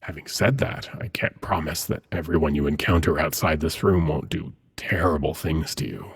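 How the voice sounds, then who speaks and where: A man playing an ancient, seductive demon prince in an intimate ASMR roleplay, hushed and coaxing, with dramatic pauses and a husky, breathy voice. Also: extremely low pitch, full character voice. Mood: calm, amused. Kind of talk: ASMR roleplay, intimate second-person monologue.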